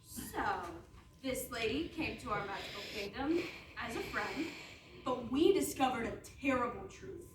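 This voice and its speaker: A woman's voice: on the high side, animated, normal speed, background noise, close to an everyday voice. A woman playing a flippant, bossy young princess in a comic stage reading, brash and sarcastic. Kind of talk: comic stage readers theatre. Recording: echoey room, far from mic.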